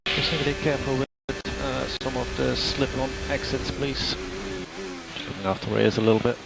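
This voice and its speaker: A man's voice: mid-range voice, fairly even intonation, normal speed, gravelly, over loud music. A man working as a race engineer, giving clipped, matter-of-fact updates over team radio. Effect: team radio transmission. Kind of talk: team radio briefing with gaps, lap times and settings. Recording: noisy race radio with car noise. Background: loud car and engine noise.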